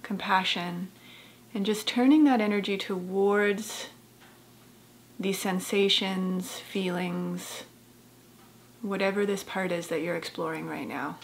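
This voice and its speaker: A woman guides a meditation in a gentle, soft-spoken voice at a medium pitch, with a noticeable creak. She goes unhurriedly, leaving long pauses between phrases. There is some light background noise in the recording. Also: steady tone. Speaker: therapist guiding a meditation.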